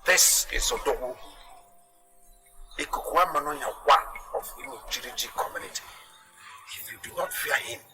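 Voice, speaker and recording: A man's voice: medium pitch, moderate pace, long pauses, lightly acted. A man with a Nigerian accent acting an eager, boastful village man in a film comedy-drama. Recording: background music behind dialogue.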